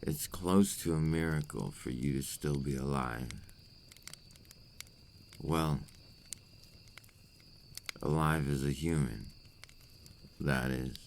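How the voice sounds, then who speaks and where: A man performs a brooding vampire in an intimate audio roleplay. His voice sits extremely low, with a heavy gravelly creak. He speaks slowly and formally, like a cursed creature full of regret, over faint background noise. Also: a little mellow, heavily acted. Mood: somber, regretful. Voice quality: very deep, gravelly. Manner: slow, deliberate, formal phrasing. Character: remorseful adult male vampire, brooding and tormented by his curse. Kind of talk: ASMR roleplay acting, intimate monologue.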